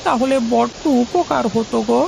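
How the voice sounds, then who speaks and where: A woman voicing a poor, kind-hearted working father in an animated moral tale, speaking earnestly and colloquially. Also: on the high side, moderate pace, gravelly, noisy background. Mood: downcast, pleading.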